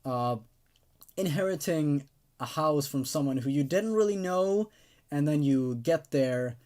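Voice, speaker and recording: Guy hosting, kinda high voice that swings up and down a lot, casual review, rambles and hedges. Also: animated, unhurried, a little mellow. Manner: hesitant, rambling, often qualifying opinions. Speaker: host of a story-reading channel.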